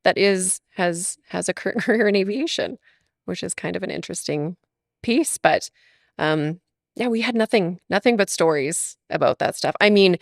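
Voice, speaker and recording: A woman's voice: on the low side, animated, normal speed, vocal fry, dry recording, clean studio sound. A woman from Alberta, Canada, chatting as a guest in an interview, laughing often and talking with lots of fillers. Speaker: podcast guest. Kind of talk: casual interview chat.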